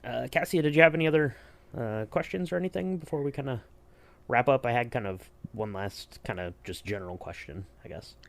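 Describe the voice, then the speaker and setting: Man, medium pitch, podcast host, casual chat, agreeable, repeats phrases. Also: fairly quick, creaky.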